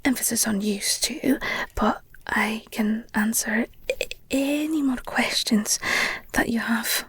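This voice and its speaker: A woman playing a protective, guilt-ridden werewolf girlfriend in an intimate audio roleplay, speaking in a hushed, halting, soothing, breathy whisper. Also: fairly high pitch, heavily acted. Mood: guarded, explaining.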